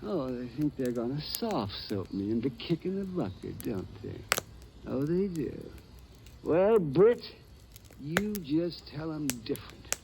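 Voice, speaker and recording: An actor playing a frail, stubborn old American gold prospector in a 1950s radio western, with a folksy, halting delivery. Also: male voice, a little high, sing-song, vocal fry, heavily acted. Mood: defiant. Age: older. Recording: noisy vintage radio recording.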